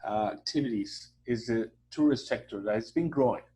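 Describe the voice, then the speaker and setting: A Mexican-accented man, Spanish his first language, speaks in an even middle register. He answers interview questions in halting, filler-laden English, restarting his sentences as he warmly promotes his town. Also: mid-range voice, slight background noise. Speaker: hotel association president, guest. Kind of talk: conversational interview.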